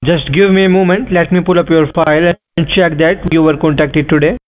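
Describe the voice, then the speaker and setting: Male scam caller, stiff official script, slightly high voice, tinny phone line. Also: steady tone, fairly quick. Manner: formal official phrasing. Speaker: phone scammer.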